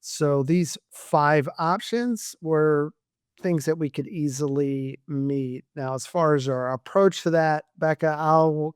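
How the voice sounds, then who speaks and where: A man on a business podcast panel explaining things conversationally, in an informal, halting way. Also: mid-range voice, clean tone, no echo, clean studio sound. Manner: halting, informal, frequent repeated words and "you know". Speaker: company team member on podcast panel.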